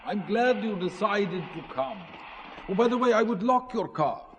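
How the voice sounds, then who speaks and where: A suave, menacing count with swooping intonation. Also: male voice, high voice, very animated, a little hiss or hum. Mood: smooth politeness. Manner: urbane, deliberate, guarded. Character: suave, menacing Italian count, shady art dealer. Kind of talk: spy thriller audio drama acting.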